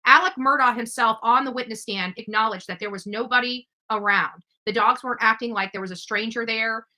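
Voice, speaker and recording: A woman hosting a true-crime livestream, commenting over footage in a halting, emphatic way with repeated words. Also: fairly high pitch, bright, forceful tone. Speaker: livestream host.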